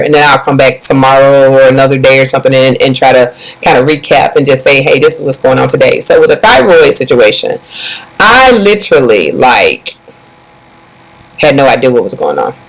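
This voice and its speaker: Raspy woman telling her story. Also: sits low, low-quality recording. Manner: chatty, addresses viewers as y'all. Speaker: vlogger. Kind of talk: casual personal storytelling to viewers.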